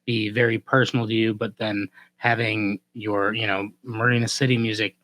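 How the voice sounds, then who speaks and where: A man's voice: fairly low pitch, flat delivery, moderate pace, fairly bright tone, a little hiss or hum. A man hosting a casual interview, talking informally with loose, hedging phrasing. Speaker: podcast host.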